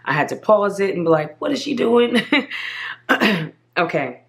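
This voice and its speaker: A slightly hoarse woman hosting a casual crafting tutorial, chatting viewers through each step as she works. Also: medium pitch, lively intonation, unhurried. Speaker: craft channel host.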